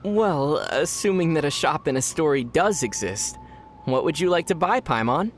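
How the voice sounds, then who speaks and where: A man voicing a calm, thoughtful young traveler hero in a video game, speaking in a measured way. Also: on the high side, slight background noise, lightly acted.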